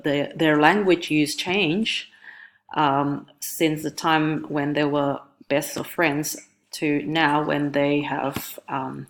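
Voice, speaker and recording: A young woman whose first language is Indonesian presents her assignment in a deep, low register. She speaks carefully and in a measured way, pausing on ums and correcting herself now and then. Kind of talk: recorded class presentation.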